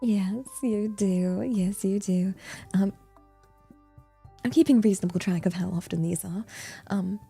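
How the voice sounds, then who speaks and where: A woman with an exaggerated posh British accent, chatting casually to livestream viewers in a playfully prim, flustered manner with a breathy voice. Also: mid-range voice, a little slow, pauses often, dark tone, soft background music. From Britain. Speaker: livestream host.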